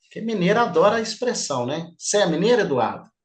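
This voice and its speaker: A man's voice: sits high, big pitch swings, fast talker. A middle-aged man teaching a live online class, chatty and informal, often checking in with "tá?". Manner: chatty, informal, peppers speech with "tá?". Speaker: instructor. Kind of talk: live online class, lecturing and questioning students.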